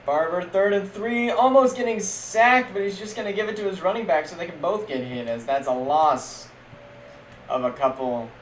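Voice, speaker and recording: A young man streaming casual, jokey play-by-play of a simulated football league, getting loud on big plays. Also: sits high, normal speed. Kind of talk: live-stream game commentary. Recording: echoey room with faint background noise.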